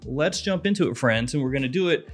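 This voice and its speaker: A man's voice: medium pitch, fast, faint music behind. A man in his thirties with a head cold, chatting animatedly as he reviews fragrances in a small room. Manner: chatty, animated, gesturing with hands. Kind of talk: casual video review. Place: small room with lit shelves of bottles.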